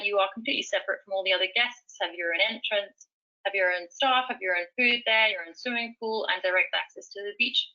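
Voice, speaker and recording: Bright-voiced woman presenting safari options. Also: medium pitch, muffled recording. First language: speaks fluent Swahili. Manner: enthusiastic, many fillers and restarts. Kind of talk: webinar presentation.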